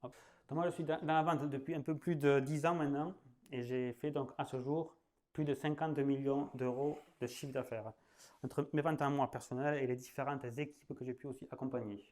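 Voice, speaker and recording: A man's voice: mid-range voice, steady tone, a little fast, darkish tone. Southern French man, chatty and loose. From southwest France. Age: 30s. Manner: chatty, loose, full of fillers, laughs at himself. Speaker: sales trainer. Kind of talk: casual spoken masterclass.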